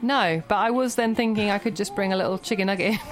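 A woman's voice: medium pitch, quick pace. A woman presenting hospital radio, chatty and laughing, tripping over numbers. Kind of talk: casual radio chat. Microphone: studio mic with feedback.

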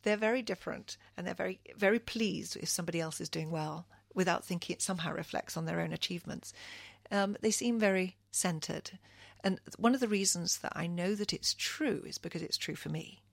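Woman, middle-aged, from Kenya, interview guest, earnest, thoughtful, gravelly, dry sound. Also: on the low side, fairly quick, no echo. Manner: thoughtful, earnest, frequent restarts. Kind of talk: reflective radio interview.

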